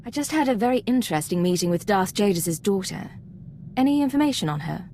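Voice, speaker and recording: An actress playing a cool, dutiful Imperial agent in a video game, clipped, controlled and businesslike. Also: female voice, medium pitch, lively intonation, fairly quick, dark tone, slight background noise, lightly acted. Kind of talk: scripted game dialogue.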